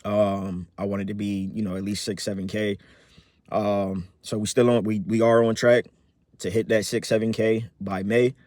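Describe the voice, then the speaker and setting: Relaxed man in his thirties, rambling. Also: on the low side, normal speed, no room sound, clean studio sound. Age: 30s. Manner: rambling, many fillers and restarts; relaxed, upbeat. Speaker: vlogger. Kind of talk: casual personal update to camera.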